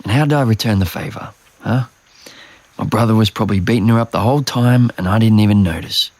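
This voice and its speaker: A low, gravelly young man in an audio drama. He sounds troubled and unsure of himself, talking casually with a self-deprecating edge and a husky rasp. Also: quick pace, darkish tone, no room sound. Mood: rueful. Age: young adult. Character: troubled, self-doubting young man haunted by his brother. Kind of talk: naturalistic audio drama acting.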